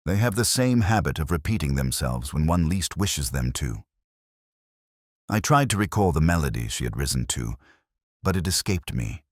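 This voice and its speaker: A man narrating a first-person literary mystery as a scripted audiobook, with a measured, literary delivery. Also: rumbling low, no room sound, studio quality.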